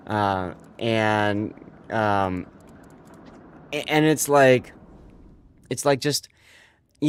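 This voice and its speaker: A man's voice: on the low side, no echo. A man with a stuffy, congested voice, hosting a live stream and chatting casually with his viewers, rambling with many fillers.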